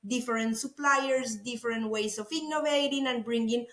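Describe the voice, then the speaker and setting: A woman's voice: a little high, steady tone, moderate pace, a bit bright, reverberant. A middle-aged woman from Costa Rica with a Costa Rican Spanish accent, talking effusively as an interview guest. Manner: enthusiastic, effusive, repeats words. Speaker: guest, food innovation executive. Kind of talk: conversational interview.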